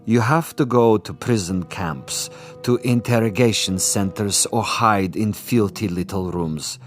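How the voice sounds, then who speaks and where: An actor playing a suave, boastful assassin commissar in a radio drama, with pompous, precise and silkily polite diction. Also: male voice, lowish voice, a little slow, soft background music, lightly acted. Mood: smug, menacing. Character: suave, boastful male assassin commissar, coolly menacing connoisseur.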